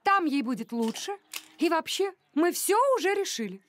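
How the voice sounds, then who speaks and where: A woman playing a firm, brisk mother in a children's cartoon, expressive and assertive. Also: extremely high pitch. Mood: decided.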